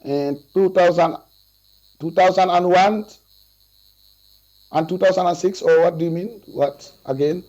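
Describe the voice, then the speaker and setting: A man in his forties with a Gambian accent, giving deliberate, formal answers as a witness over a video call from a room. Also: high-pitched, fairly even intonation, darkish tone. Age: 40s. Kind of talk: testimony over video link. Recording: muffled video call audio with mains hum.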